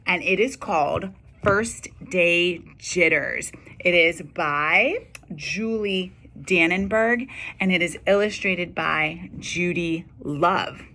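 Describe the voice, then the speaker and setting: A woman's voice: lowish voice, slow, bright, forceful tone. A woman educator reading a children's storybook aloud and speaking directly to her young listeners. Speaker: school educator reading to students.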